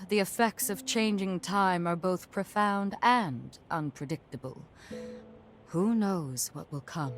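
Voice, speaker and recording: An actress playing a solemn, regal guardian of time in a video game, speaking in a formal, grave manner. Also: female voice, lowish voice. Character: solemn, regal lady who watches over time. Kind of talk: video game cutscene dialogue. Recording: faint music behind.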